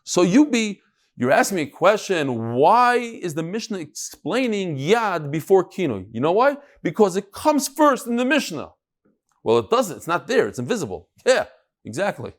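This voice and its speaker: Guy teaching talmud class, kinda high voice, very up and down melody, emphatic, chatty, throws questions out. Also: very animated, normal speed. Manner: conversational, emphatic, peppered with rhetorical questions and Hebrew terms. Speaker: rabbi giving a daily Talmud class. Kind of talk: informal Talmud lecture to a live class.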